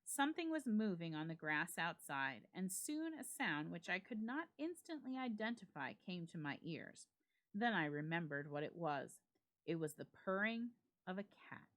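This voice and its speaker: A woman giving a dramatic, measured reading of a classic ghost story, with emphatic phrasing. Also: lowish voice, sing-song, fairly bright tone. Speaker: library staff reader.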